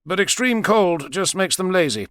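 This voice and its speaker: A man's voice: sits high, lively intonation, moderate pace, a bit bright, no echo, clean studio sound, pitched above the performer's own voice. A man voicing an assured male doctor who explains things confidently in an audiobook. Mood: assured, explaining.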